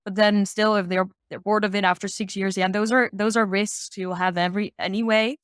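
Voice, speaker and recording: A woman's voice: medium pitch, little pitch movement, brisk, smooth, dry recording, studio quality. A woman explaining sustainability as an expert guest in a casual, upbeat discussion, thinking aloud as she goes.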